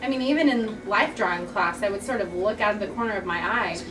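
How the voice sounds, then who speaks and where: A woman's voice: mid-range voice, quick pace, lightly acted. A woman playing an earnest, wry young female comic artist at a table read, candid and conversational. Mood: exasperated. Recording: echoey, noisy room, distant mic.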